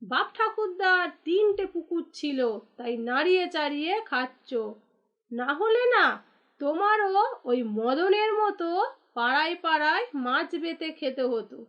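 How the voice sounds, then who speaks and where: An extremely high-pitched village wife from a cartoon, acted by a woman. She scolds righteously, nagging with sarcasm and a sharp tongue. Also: animated, measured pace, lo-fi sound. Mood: sarcastic, scornful. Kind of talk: cartoon dialogue acting.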